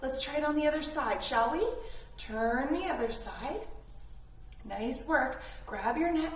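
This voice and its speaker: A woman's voice: sits high, unhurried. A woman leading a playful yoga lesson for children, encouraging and speaking to them directly as friends. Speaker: kids yoga instructor. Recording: roomy echo, distant mic, faint noise.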